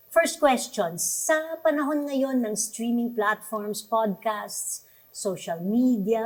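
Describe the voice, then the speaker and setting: A Filipino woman hosting a conversational interview program, with a slightly husky voice. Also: on the high side, rough recording. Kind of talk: conversational interview, mixing Tagalog and English.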